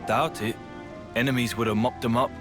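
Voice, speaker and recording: An actor playing a blunt, earnest young fighter in a video game cutscene. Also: male voice, mid-range voice, fairly even intonation, fairly quick, music in the background, close to an everyday voice. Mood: grave, frank.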